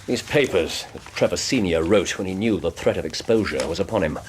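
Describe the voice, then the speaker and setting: An actor playing an incisive Victorian detective in a radio drama, with an English accent, recounting a case in precise, theatrical phrasing. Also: male voice, deep voice, fairly quick, a little hiss or hum, natural voice. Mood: dramatic, deliberate. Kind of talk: period radio drama acting, storytelling.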